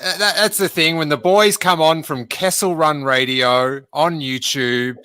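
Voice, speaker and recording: A man's voice: fairly high pitch, fairly even intonation, measured pace, pushed and bright, smooth. A man hosting a casual livestream chat, joking with his guests and often stammering and restarting his sentences. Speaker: livestream host.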